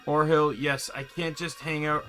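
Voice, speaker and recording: A man voicing a pompous, forgetful gentleman king, reading video game dialogue aloud with bluster. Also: a little high, level tone, normal speed, soft background music. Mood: indignant, blustering.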